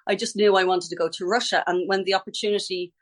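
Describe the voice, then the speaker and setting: A woman's voice: medium pitch, fairly even intonation, fast talker. A middle-aged Irish woman, a ballet teacher, chatting in a podcast interview, talking freely, restarting sentences and laughing easily. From Ireland.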